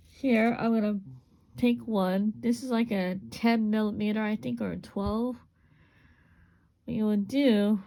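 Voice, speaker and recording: A woman with a gravelly, hoarse voice demonstrates a small craft step by step. Her talk is relaxed and unscripted, with plenty of repeats as she works. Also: mid-range voice. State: voice going hoarse. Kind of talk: casual hands-on craft demonstration.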